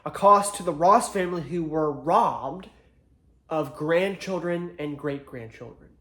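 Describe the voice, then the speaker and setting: A man's voice: a little high, fairly bright tone. Man acting as earnest attorney in echoey room. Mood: grave, resolute. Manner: deliberate, rhetorical, dramatic pauses. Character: earnest male attorney, proud ex-Marine, solemnly addressing jury. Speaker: actor. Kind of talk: dramatic courtroom monologue.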